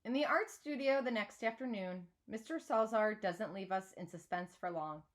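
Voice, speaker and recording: A woman reading a children's novel aloud like a teacher, in an expressive storytelling manner. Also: mid-range voice, moderate pace. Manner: clear storytelling with acted dialogue.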